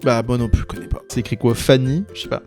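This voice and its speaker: A young man hosting a casual chat and ranking cartoons, expressive, slangy and playfully exclaiming. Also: medium pitch, fast, soft background music. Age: young adult. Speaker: host of a video channel.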